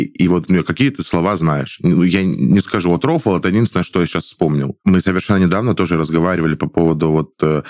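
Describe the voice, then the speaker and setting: A young man in his twenties, a guest actor in casual studio chat, who talks hesitantly with many pauses, restarts and chuckles. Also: low-pitched, no room sound. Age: 20s.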